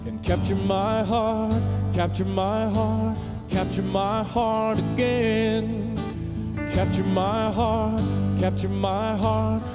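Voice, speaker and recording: Woman singing slow worship over loud guitars. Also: mid-range voice, level tone. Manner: sung slowly and drawn out. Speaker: worship singer. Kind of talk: worship song. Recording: song over loud band music. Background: loud guitar band.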